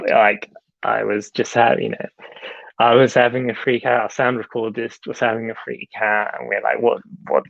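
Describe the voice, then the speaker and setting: A man's voice: medium pitch, little pitch movement, normal speed, creaky, a bit bright, no echo, dull audio. A young man, a film director, answering interview questions in a casual, hesitant way, with lots of fillers and restarts, over a video call.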